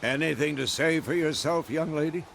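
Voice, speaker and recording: An actor playing a strict, gruff old male witcher mentor in a video game, grumbling and scolding. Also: on the high side, moderate pace, fairly bright tone, a little hiss or hum. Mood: resigned. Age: older. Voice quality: gravelly. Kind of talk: video game cutscene acting.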